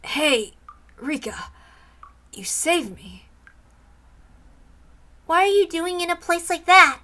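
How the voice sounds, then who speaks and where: A woman's voice: very high-pitched, sing-song, slow, bright, forceful tone, pitched above the performer's own voice, exaggerated voice. A woman voicing an exhausted, earnest male captive in a visual novel, questioning urgently. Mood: weary, relieved.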